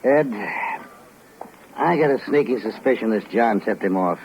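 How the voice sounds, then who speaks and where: A man playing a steady, shrewd American police lieutenant in a 1950s radio crime drama, matter-of-fact and casually in command. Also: lowish voice, unhurried. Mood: measured. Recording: muffled, hissy old 1950s radio recording.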